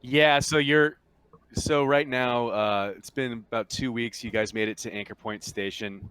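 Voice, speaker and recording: A man acting as game master, explaining the game casually and haltingly in an informal voice chat. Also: mid-range voice, steady tone, fairly bright tone, slight background noise. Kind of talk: casual tabletop roleplaying narration and chat.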